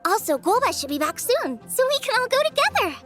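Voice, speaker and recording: Eager teenage girl chef, girlish and very high, swooping intonation, game dialogue. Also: very animated, brisk, music in the background. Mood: upbeat. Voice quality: youthful, girlish. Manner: eager, exclaiming. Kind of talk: video game voice acting.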